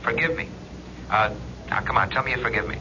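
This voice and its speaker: An actor playing a teasing young lawyer boyfriend heard through a telephone in a 1970s radio drama. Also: male voice, medium pitch, quick pace, sharp tone. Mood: teasing, apologetic. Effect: telephone filter. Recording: thin phone line, noisy 1970s radio recording.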